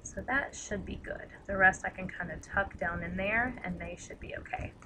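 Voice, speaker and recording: A woman with a tired, slightly raspy voice chatting casually through a craft tutorial, rambling and self-deprecating as she works. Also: mid-range voice, moderate pace, background noise. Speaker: craft demonstrator.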